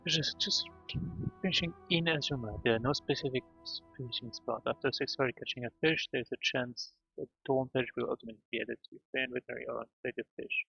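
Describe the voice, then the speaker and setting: Streamer guy, gravelly voice, mutters and reads stuff out loud, game music playing behind. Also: medium pitch, expressive, quick pace, dull audio. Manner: mumbling, reads notes aloud, half-pleading. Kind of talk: casual game stream talk.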